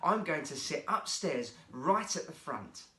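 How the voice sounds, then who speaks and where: A man's voice: on the high side, big pitch swings, a little slow, sharp tone, full character voice. A man voicing a cheeky, wilful, show-off schoolboy in a children's story, with a boastful, bratty manner. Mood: insistent. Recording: roomy, echoey sound.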